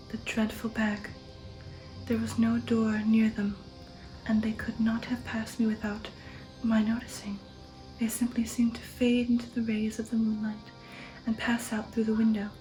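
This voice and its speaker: A woman reading an audiobook in ASMR style, in a soft breathy whisper, slowly and with dramatic deliberation. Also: on the high side, rough recording. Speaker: ASMR host and narrator. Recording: music under the voice. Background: music.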